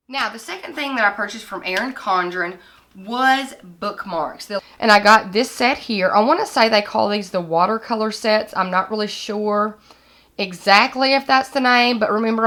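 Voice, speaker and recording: A young woman, hoarse and scratchy from a recent illness, chatting casually as she shows planner supplies to the camera at her desk. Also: mid-range voice, sharp tone. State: hoarse, recovering from lost voice. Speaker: planner vlogger. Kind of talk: casual product haul vlog. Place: indoors at a desk with lighting kit.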